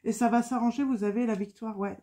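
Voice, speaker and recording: A woman's voice: a little high, fairly even intonation, fast talker, warm tone. A woman with a cold, giving a casual spoken tarot reading with many fillers and repetitions. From France. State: has a cold. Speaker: tarot card reader.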